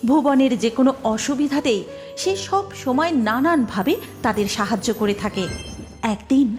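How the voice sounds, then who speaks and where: Woman narrating a dramatic story, voice a bit high, music behind. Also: lively intonation, moderate pace.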